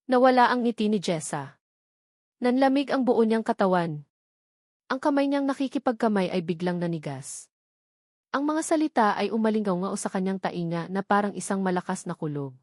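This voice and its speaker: A woman's voice: mid-range voice, lively intonation, moderate pace, a little mellow, no room sound, studio quality. A woman with a Filipino accent reading a scripted romantic drama as a storyteller. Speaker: audio drama narrator.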